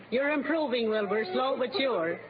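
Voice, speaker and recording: Wry, high-voiced radio wife. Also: female voice, expressive, a little slow. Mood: wry, dry. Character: plain-spoken, wry, homely wife of a bumbling official. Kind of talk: 1940s radio comedy acting. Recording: muffled old radio recording, crowd behind.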